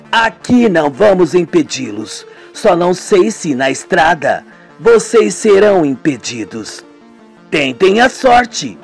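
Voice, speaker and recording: Man voicing a coldly calm cult woman. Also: deep voice, creaky, husky, full character voice. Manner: calm, matter-of-fact menace. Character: cold female cult villager.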